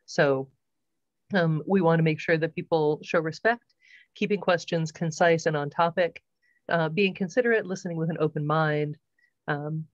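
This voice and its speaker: A woman hosting an online short course on a video call, welcoming people and going over house rules with many pauses and ums. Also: low voice, dry recording, muffled recording. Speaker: course host.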